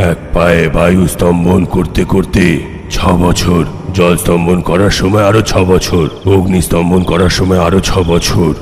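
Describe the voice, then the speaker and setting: A man's voice: low-pitched, a little mellow. A man playing a grave, all-knowing underworld guardian in a dramatic cartoon dub, speaking in solemn, foreboding instruction. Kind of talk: dramatic dubbed cartoon dialogue. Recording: long hall reverb, faint noise.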